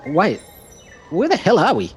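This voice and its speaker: An actor playing a bewildered, skeptical company boss in an audio musical drama, questioning everything incredulously. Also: male voice, sits high, wildly swooping pitch, a little slow, faint music behind, exaggerated voice.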